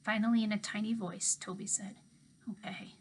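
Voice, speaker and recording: A woman teacher reading a children's novel aloud to her class with expressive storybook delivery. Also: medium pitch, fairly quick, a little hiss or hum.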